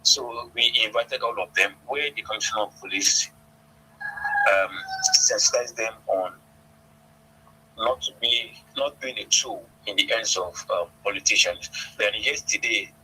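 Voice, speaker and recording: Bright, forceful Nigerian man, hesitant delivery. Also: on the low side, measured pace, a little hiss or hum, rough recording. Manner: hesitant, repeats and restarts phrases. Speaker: police public relations officer, radio guest. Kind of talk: radio interview answers, recounting events.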